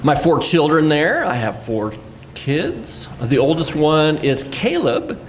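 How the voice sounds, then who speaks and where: A man's voice: a little high, very animated, slow. A man from Puerto Rico speaking on a live panel, conversational and full of fillers. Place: college event room. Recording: echoey room, mic at a distance, background noise.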